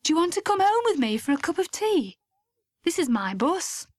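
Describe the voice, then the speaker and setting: A woman's voice: high-pitched, lively intonation, slow, dry recording, full character voice. A woman voicing an eager, lovestruck young woman who talks hopefully and clings.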